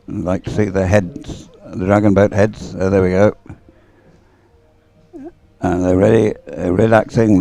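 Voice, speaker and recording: A man's voice: lowish voice, warm tone. A man giving unscripted live sports commentary in a hesitant, rambling way, as if thinking aloud.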